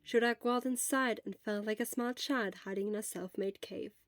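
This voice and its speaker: A woman reading a piece of fan fiction aloud in slow, even storytelling narration. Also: mid-range voice, dry recording, studio quality. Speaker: podfic reader.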